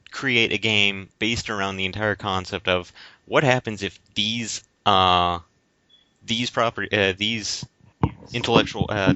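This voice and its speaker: Podcast guy, lowish voice, hesitant roundabout explaining, dry close sound but lo-fi. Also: sharp tone, no room sound. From United States. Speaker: podcast co-host. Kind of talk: casual explanatory discussion. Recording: dull lo-fi audio.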